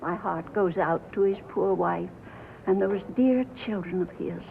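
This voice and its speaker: An actress playing a devoted, sentimental older radio fan, earnest and emphatic, in a 1950s film. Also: female voice, lowish voice, unhurried, vocal fry. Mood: mournful, devoted. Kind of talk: 1950s film acting, street interview. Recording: tinny, noisy 1950s film soundtrack.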